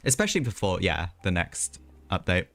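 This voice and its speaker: A man's voice: low voice, huge pitch swings, moderate pace, vocal fry, fairly bright tone. A man live streaming a video game, chatting casually in a rambling way with hesitant asides.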